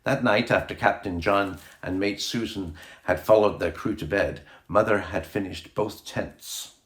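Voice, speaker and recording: A man reading a children's storybook aloud as a scripted audiobook narration. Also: on the low side. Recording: echoey room sound.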